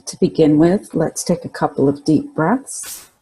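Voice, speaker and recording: A woman leading a guided imagery meditation in a calm, soothing, instructional way, leaving pauses between phrases. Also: low voice, slightly rough, low-quality recording.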